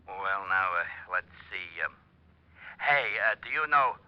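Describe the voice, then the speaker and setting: Dopey bumbling police sidekick. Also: male voice, sits low, expressive, takes it slowly, smooth. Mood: eager, flustered. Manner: hesitant, dopey, stammering. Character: dim, eager, bumbling police sidekick, easily flustered. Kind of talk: old-time radio comedy-drama acting. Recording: dull, dry vintage radio recording.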